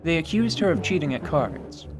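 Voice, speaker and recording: A man giving wry, measured scripted narration of a fantasy tabletop story. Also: fairly high pitch, expressive. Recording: music underneath.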